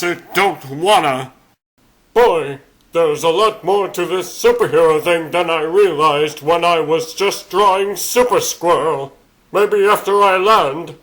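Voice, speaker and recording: Man doing a high-voiced wisecracking cartoon rabbit hero. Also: fairly bright tone, rough recording. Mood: wry, musing. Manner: sarcastic, chatty, quipping. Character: wisecracking, plucky three-foot talking rabbit superhero, male. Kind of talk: comic dub cartoon acting.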